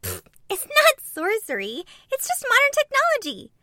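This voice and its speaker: A giddy teenage superhero girl, voiced by a woman reading aloud, bubbles over with exclamations. Her voice is very high and swoops wildly, bright and sharp. The sound is dry. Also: huge pitch swings, normal speed, no echo, full character voice. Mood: sassy.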